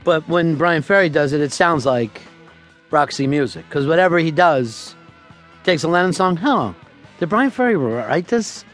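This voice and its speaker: Radio host guy, slightly high voice, dry deadpan jokes, swears a lot, faint music behind. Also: moderate pace. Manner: deadpan, profane, spins absurd tall tales. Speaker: radio talk show host. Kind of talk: casual radio chat taking callers.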